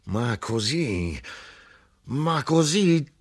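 A man voicing an excitable, hot-tempered male street knife-grinder who yells his words. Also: low voice, slow, gravelly, breathy edge, heavily acted. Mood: shouting frantic. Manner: shouting, explosive.

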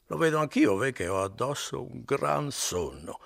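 A man voicing a drunk, rowdy young man who shouts and drags out his words. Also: medium pitch, expressive, creaky, pitched above the performer's own voice. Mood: drunken mockery. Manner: shouting, slow drawn-out words.

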